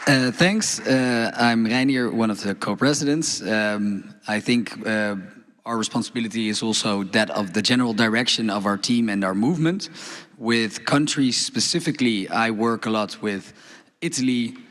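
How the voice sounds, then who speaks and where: A man introducing himself on a panel in a measured way, with frequent fillers. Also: on the low side, fairly bright tone, a faint crowd. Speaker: co-president on a panel.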